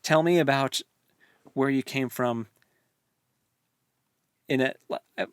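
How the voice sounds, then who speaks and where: A man's voice: mid-range voice, takes it slowly, clean tone, dry recording, studio quality. A man co-hosting a podcast, talking through his views in a casual, meandering book discussion. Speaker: co-host of a book read-along podcast.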